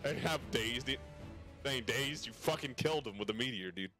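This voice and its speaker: Male game streamer, animated pitch swings, slangy and sweary casual commentary, faint music behind. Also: brisk.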